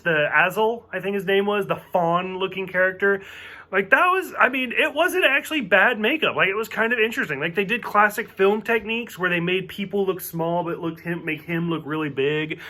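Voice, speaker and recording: A chatty man with a light, mostly covered Southern accent talks through a TV review in a loose, rambling way, full of filler words. His voice sits high, with a bright, tense edge. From southern United States. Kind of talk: casual solo review.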